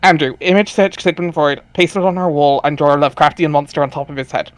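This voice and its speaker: A man's voice: fairly high pitch, fairly even intonation, fast, no echo. A man chatting casually as he explains background details to a group, often hesitant and searching for words. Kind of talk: casual chat, commentary.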